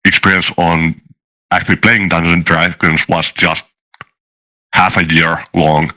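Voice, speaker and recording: Deep, bright-voiced man answering hesitantly. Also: poor audio. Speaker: guest, tabletop game master. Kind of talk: casual interview answers.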